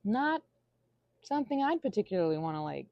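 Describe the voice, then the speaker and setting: A woman's voice: medium pitch, very animated, a little fast, dry recording, dull audio. A woman giving a casual, rambling monologue, whimsical and self-deprecating as her thoughts wander. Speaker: audio creator rambling to listeners.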